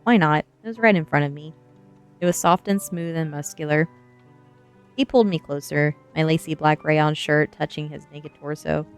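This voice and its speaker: A woman reading a first-person story aloud as ASMR, with soft music behind her. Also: sits low, steady tone, normal speed, clear, resonant tone. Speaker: streamer and reader.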